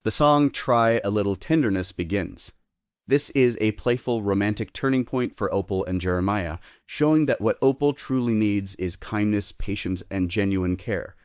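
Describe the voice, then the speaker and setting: A man serves as narrator, reading stage directions in a scripted style. His voice is very low and recorded dry, without room sound. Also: normal speed, a little mellow, dry recording.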